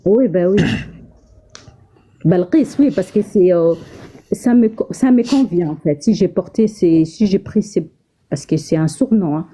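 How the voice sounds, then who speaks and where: A woman with a husky voice chatting casually, hesitant, repeating herself and laughing often. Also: fairly low pitch, a little slow, dark tone. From Africa, Ethiopian mother and Senegalese father. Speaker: guest in an online conversation. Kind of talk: casual chat.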